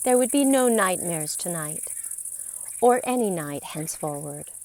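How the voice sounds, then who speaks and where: A woman narrating a scripted story in a deliberate, theatrical way, with dramatic pauses and emphasis. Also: fairly low pitch, animated, a little mellow. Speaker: podcast storyteller.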